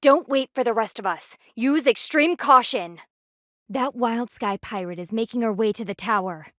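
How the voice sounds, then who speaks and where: A proud, stern panda guardian from a video game, played by a woman. Her voice sits fairly high and is recorded dry and close. She speaks formally and haughtily, clipping her words like a military commander. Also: moderate pace, no echo, natural voice. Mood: stern, businesslike.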